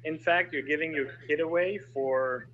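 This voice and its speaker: A man who grew up in Germany, a guest in a casual online group chat, speaking thoughtfully with many pauses and fillers over a video call. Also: fairly high pitch, a bit bright, dull audio.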